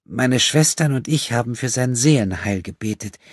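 A man voicing a prim, righteous nun in an audiobook, speaking in a sharp, defensive manner. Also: mid-range voice, animated, moderate pace, no echo, clean studio sound, voice pushed up, close to an everyday voice. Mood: indignant, defensive. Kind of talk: audiobook character acting.

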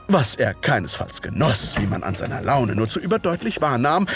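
An actor playing a modest, easily embarrassed Victorian doctor who narrates an audio drama in measured storytelling. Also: male voice, a little high. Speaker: narrator and character.

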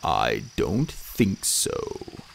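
A man voicing an ancient male horned god who speaks softly, slowly and without emotion, in a low rumble. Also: wildly swooping pitch, vocal fry, heavily acted. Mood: cold. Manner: soft, slow and deliberate.

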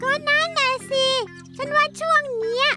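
A woman playing an excitable, dramatic young schoolgirl in a cartoon dub. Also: extremely high pitch, normal speed. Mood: puzzled. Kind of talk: Thai cartoon dubbing. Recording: loud music behind.

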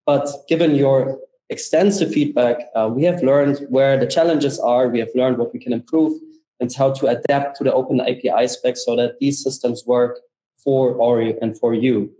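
A man's voice: medium pitch, fairly even intonation, normal speed, dark tone, echoey room, low-quality recording. A young man, a company co-founder, giving an enthusiastic, conversational conference keynote with many fillers. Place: room with paintings on the walls.

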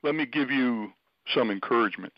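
A man speaking as an organization's spokesman on a conference call, explaining in measured, courteous and careful phrases. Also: medium pitch, no room sound. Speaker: spokesman for an oath-keeping organization. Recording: dull band-limited phone line.

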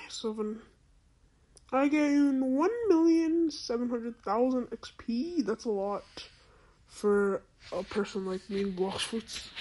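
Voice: high-pitched, mellow, poor audio. A female-sounding voice through a voice changer, giving casual gameplay commentary in an exclamatory, incredulous, slangy and repetitive way. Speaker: gaming video host.

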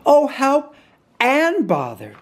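A simple, good-natured storybook bear, voiced by a woman. The delivery is slow and hesitant, a little plaintive, with lively rises and falls. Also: sits low. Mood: flustered. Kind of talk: children's storybook character voice.

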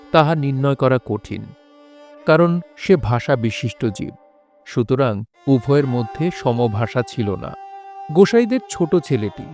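A man reading a scripted literary story as narrator, in measured, formal diction. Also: mid-range voice, a little mellow. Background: faint music.

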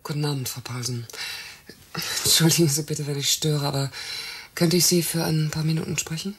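An actress in a radio play, playing a bitter, jealous secretary who speaks in a reproachful, hesitant way. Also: female voice, deep voice, steady tone, slightly rough. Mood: hesitant, polite. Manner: sarcastic, reproachful, hesitant pauses. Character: bitter, jealous secretary and former mistress of her boss. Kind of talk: naturalistic German radio play acting.

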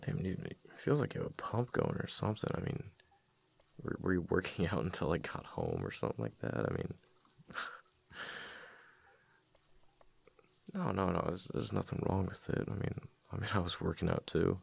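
Man playing a very gravelly, deep-voiced husband. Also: big pitch swings, heavily acted. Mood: teasing, affectionate. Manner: halting, casual, muttering with repeated words. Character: husband, playfully exasperated, affectionate, casual. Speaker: ASMR roleplay voice actor. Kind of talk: boyfriend ASMR roleplay.

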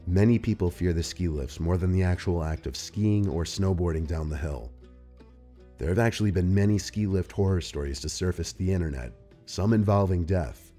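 Deep, mellow male narrator. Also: normal speed. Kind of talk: scripted narration. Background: music.

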